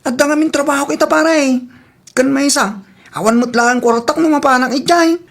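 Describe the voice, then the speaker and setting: A woman's voice: high voice, moderate pace. A woman with an Ilocano accent voicing a reluctant working man in a radio comedy drama. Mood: reluctant, grumbling.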